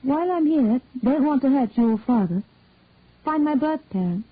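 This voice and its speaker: A woman's voice: high-pitched, animated, moderate pace, breathy edge, pitched above the performer's own voice. A woman voicing an insistent young wife who pleads with and demands answers from her parents in a story. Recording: narrowband, tinny phone-like sound.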